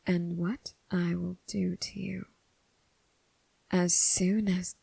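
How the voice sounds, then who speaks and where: A woman playing a seductive, menacing crossroads demon who toys with her prey, in a breathy, whispered ASMR roleplay with slow, teasing, formal phrasing. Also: on the low side, level tone, dark tone, dry recording, exaggerated voice, rough recording. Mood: teasing menace.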